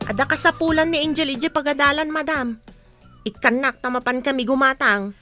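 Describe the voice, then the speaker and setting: A woman's voice: sits high, steady tone, fairly quick, pushed and bright, soft background music. A woman acting a loyal, protective household nanny in an Ilocano radio drama. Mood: firm pleading.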